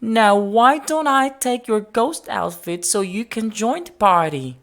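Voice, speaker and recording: Woman voicing a kindly, high-pitched storybook party hostess. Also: animated, unhurried. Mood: pleased, warm. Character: kindly, obliging woman hosting a costume party. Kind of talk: children's storybook character voice.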